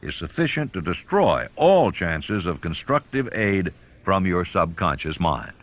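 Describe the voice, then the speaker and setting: A man reading a self-help audiobook as narrator, in a measured, instructional manner. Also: low-pitched, very animated.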